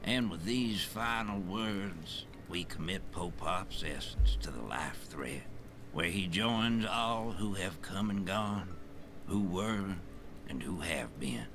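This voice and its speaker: An old village elder in a game, presiding in solemn tones. The voice is very deep and slow, set over a clear score. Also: male voice, lively intonation, over music. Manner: solemn, funereal.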